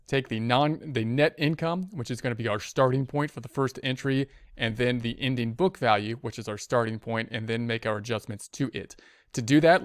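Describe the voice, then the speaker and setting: A man's voice: mid-range voice, a bit bright, smooth, no echo, studio quality. A man giving a step-by-step spreadsheet tutorial as an instructor, thinking aloud and often repeating his phrases. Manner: repeats phrases while working through steps. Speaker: accounting instructor.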